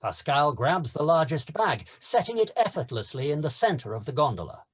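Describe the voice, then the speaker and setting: A man's voice: fairly high pitch, sing-song, normal speed, slightly rough. A man narrating scene headings and stage directions from a script.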